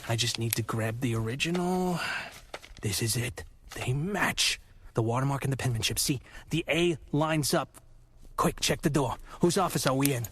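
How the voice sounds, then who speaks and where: A man's voice: mid-range voice, normal speed, a bit bright, husky. A man playing a weary, wisecracking investigator in a radio drama, with a dry, sardonic manner. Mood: urgent.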